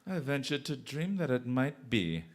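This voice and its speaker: A man performs a coolly clever British detective in theatrical radio-drama style. He is urbane and wry, with a medium-pitched voice that rises and falls dramatically, pausing to savour his phrases. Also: big pitch swings. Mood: dryly triumphant.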